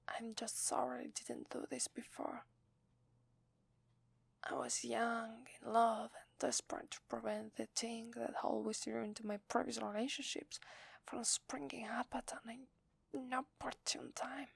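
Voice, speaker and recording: A woman's voice: on the high side, dry recording, exaggerated voice. A woman with an Italian accent voicing a sweet, apologetic werewolf wife in a hesitant, tender, whispered ASMR roleplay. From Italy. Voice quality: breathy, whispery. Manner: hesitant, tender, slow with long pauses.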